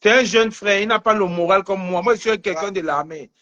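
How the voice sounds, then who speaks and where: A man hosting a live stream, urgently pleading and repeating himself to calm a caller. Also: high-pitched, brisk, muffled recording. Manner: urgent, insistent, repeats names.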